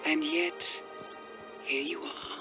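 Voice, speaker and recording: A woman playing a poised, calculating intelligence handler in a video game, speaking in a measured, formal and cutting way. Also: natural voice. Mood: quiet, knowing. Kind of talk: scripted video game acting. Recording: long reverb, music underneath.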